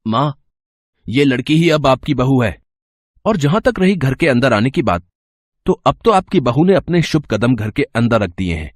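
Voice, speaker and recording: An actor playing a defiant newly married son in a cartoon, firm and assertive. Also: male voice, mid-range voice, sing-song, moderate pace, no room sound, clean studio sound.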